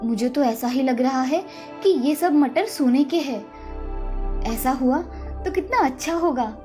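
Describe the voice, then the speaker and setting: A woman voicing a kind, helpful village wife, gentle and earnest, in a dramatised children's moral story. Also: high-pitched, expressive, slow, soft background music. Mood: hopeful wonder.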